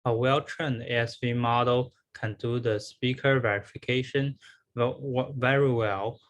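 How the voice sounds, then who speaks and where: A man delivers a scripted research presentation in a medium-pitched voice. He explains technical material step by step, with a slightly halting delivery. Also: fairly even intonation. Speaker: researcher presenting a conference paper.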